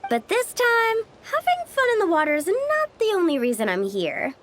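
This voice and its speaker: A woman playing a breezy, playful young travel guide in an animated video game. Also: very high-pitched, normal speed, a little hiss or hum, heavily acted. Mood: breezy, confident.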